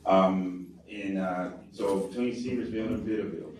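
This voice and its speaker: A low-pitched man in his fifties from Charlotte, North Carolina speaks haltingly to a forum audience, pausing on ums and restarting lines. He sounds well away from the mic in a very echoey hall. A faint hiss sits beneath his voice. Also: fairly even intonation, recorded from a distance. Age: 50s. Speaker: guest speaker, military veteran. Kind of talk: personal talk to a forum audience. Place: meeting hall.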